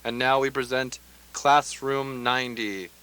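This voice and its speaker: A man's voice: mid-range voice, fairly even intonation, measured pace, sharp tone, clean tone, poor audio. A man playing an earnest, formal announcer of a mock educational broadcast in a satirical radio play. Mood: solemn, formal.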